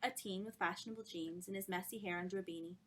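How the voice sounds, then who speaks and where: A woman author reading her own novel aloud in an expressive storytelling style. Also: fairly low pitch, fast talker, a little hiss or hum, low-quality recording.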